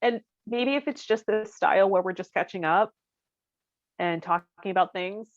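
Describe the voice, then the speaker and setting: A woman's voice: medium pitch, moderate pace, no room sound. Candid woman chatting, dry lo-fi recording. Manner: conversational, candid. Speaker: podcast co-host. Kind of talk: casual chat, personal anecdotes.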